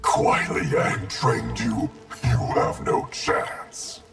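An actor playing a cold, vengeful undead ninja in a fighting game, with a gravelly, distorted growl and threatening delivery. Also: male voice, medium pitch, monotone, very slow, exaggerated voice. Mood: cold anger. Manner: threatening, declamatory. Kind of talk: fighting game character dialogue. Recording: loud music behind.

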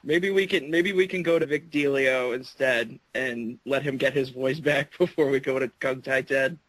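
A man's voice: mid-range voice, fairly even intonation, normal speed, fairly bright tone, no room sound, poor audio. A man co-hosting an interview who keeps breaking into giggles, a bit under the weather. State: a bit sick.

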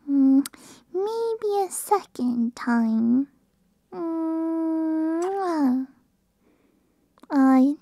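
A woman voicing a playful, affectionate, bratty puppy girl in an ASMR roleplay, cutesy and breathy, close to the microphone. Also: extremely high pitch, mellow, no room sound, full character voice.

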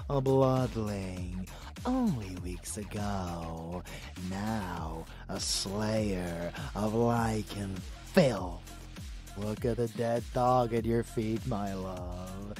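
Slow, gloating vampire lover. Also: male voice, sits low. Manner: theatrical, drawn-out, adoring and menacing. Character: rebellious murderous male vampire lover, gleefully sadistic and possessive. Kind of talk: ASMR roleplay audio drama.

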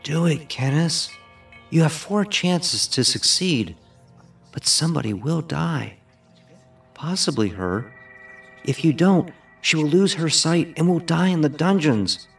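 Casting: male performer as desperate soul in a cruel fantasy audio drama, raised husky voice, emotional, off-mic. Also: a little high, animated, a little slow, pitched above the performer's own voice, full character voice. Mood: urgent pleading. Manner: urgent, emotional. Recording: distant from mic, music behind.

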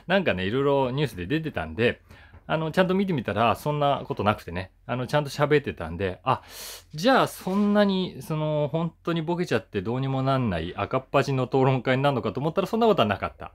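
A man's voice: mid-range voice, very animated, fast. A man in his forties chatting casually to camera in a musing, conversational way, stressing the starts of his sentences. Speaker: voice actor hosting his own talk channel.

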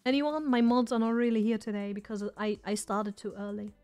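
A woman's voice: on the high side, fairly quick, a little mellow, clear, resonant tone, faint music behind. A woman streamer chatting casually and rambling as she loses her voice. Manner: rambling, chatty, self-deprecating. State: losing her voice. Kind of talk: casual live-stream chat.

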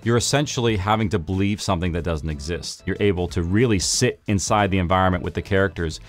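A man's voice: deep voice, quick pace. A middle-aged man explaining audio storytelling craft in a studio interview. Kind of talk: behind-the-scenes interview. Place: recording studio control room. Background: music.

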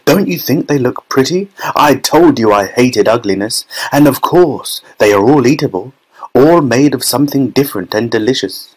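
A man's voice: medium pitch, expressive, moderate pace, darkish tone, husky, low-quality recording. Storybook factory owner, acted by a man: exuberant male showman, effusive, emphatic, proud and theatrical. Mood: eager, delighted. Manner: effusive, emphatic, relishing his words.

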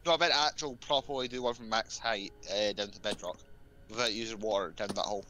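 A man's voice: on the low side, fast, sharp tone. A man in casual gaming banter on voice chat, talking in a pleading, insistent way. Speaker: fellow player on voice chat.

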